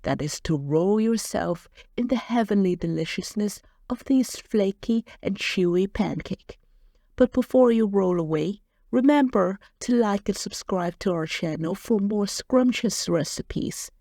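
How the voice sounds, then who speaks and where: A woman's voice: medium pitch, animated, unhurried, mellow, no room sound, clean studio sound. A woman with a non-native English accent narrating a home cooking recipe as a voice-over, cheerful and playful. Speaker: cooking channel host.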